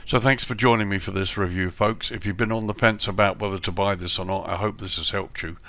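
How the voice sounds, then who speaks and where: Deep gravelly man with a cold. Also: fairly quick. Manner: relaxed, repeats praise, frequent ums. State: has a cold, slightly hoarse. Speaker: flight simulator reviewer. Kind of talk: casual scenery review voice-over.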